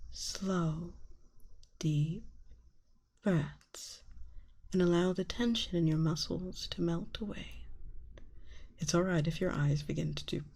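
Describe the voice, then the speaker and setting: ASMR roleplay therapist, acted by a woman: very slow, lowish female voice, soothing and quietly manipulative, slipping into half-whispers. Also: husky, exaggerated voice. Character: soothing, subtly manipulative female therapist guiding hypnotic relaxation.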